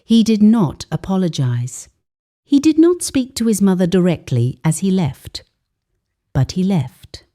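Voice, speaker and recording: A woman narrating a scripted dramatic story in a solemn, measured manner with deliberate pauses for emphasis. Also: mid-range voice, warm tone.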